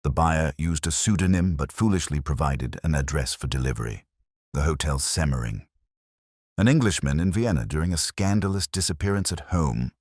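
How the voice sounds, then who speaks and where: A man's voice: extremely low pitch, expressive, moderate pace, darkish tone, no echo, studio quality. A man voicing a keen, commanding detective in an audiobook, precise, formal and theatrical in his phrasing. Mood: intent, precise.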